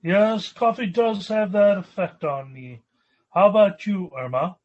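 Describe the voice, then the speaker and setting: A man's voice: sits high, unhurried, a little mellow, highs cut off. A man playing a polite, stuffy, well-to-do young businessman suitor in a 1940s-style radio comedy. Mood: genial, stuffy. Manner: genteel, hesitant.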